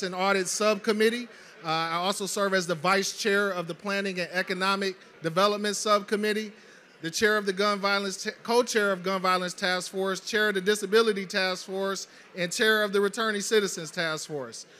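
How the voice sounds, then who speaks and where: High-voiced jokey councilman. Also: bright, forceful tone. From Detroit. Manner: jokey, listing many titles, lots of uh. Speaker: city council member. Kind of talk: panel discussion answers. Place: conference stage, indoors. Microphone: handheld mic.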